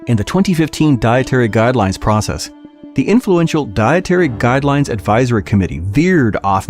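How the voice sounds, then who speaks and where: Male narrator for document audiobook podcast. Lively, varied intonation, mid-range voice, faint music bed. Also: medium pitch. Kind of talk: scripted audiobook-style reading of a policy document.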